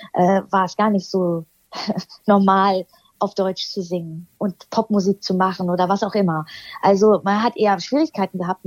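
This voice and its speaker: Hesitant husky-voiced woman. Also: medium pitch, darkish tone, no room sound, dull audio. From eastern Germany. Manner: thoughtful, hesitant, many fillers and restarts. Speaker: interview guest, singer. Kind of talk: casual interview chat.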